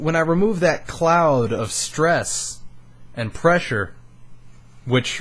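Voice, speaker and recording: A young man of eighteen, a YouTuber, talking earnestly and rambling a bit on a studio microphone, swearing casually and sounding emotionally raw. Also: mid-range voice. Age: young adult. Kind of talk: candid personal vlog.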